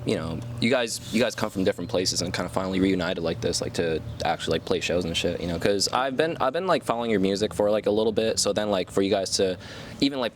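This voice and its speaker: A man's voice: low-pitched, fast talker. A man interviewing casually, rambling and enthusiastic, with lots of slang and filler words. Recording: faint vehicle noise behind.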